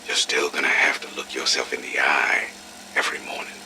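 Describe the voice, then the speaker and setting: An actor playing a blunt but sympathetic, weathered army major in an audio drama. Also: male voice, very deep voice, flat delivery, a little fast, pushed and bright, a little hiss or hum, exaggerated voice. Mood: frank.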